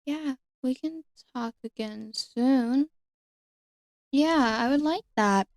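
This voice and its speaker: A woman voicing a lonely, ancient nature fairy, sweet and timid, speaking haltingly and sometimes whispering in an ASMR roleplay. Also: high voice, darkish tone, no echo. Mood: gentle, friendly. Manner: hesitant, halting, apologetic, often whispering. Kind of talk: ASMR roleplay, one-sided conversation with the listener.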